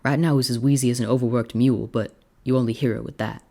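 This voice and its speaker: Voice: medium pitch, steady tone, fast, creaky, mellow. A reader voicing a kindly, exasperated frontier doctor in a story, plainspoken and folksy. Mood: reassuring.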